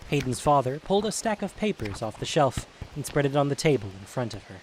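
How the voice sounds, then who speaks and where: Male storyteller with medium pitch, faint hiss. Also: sing-song, fast, darkish tone, rough recording. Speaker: narrator. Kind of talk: scripted audio drama narration, storytelling.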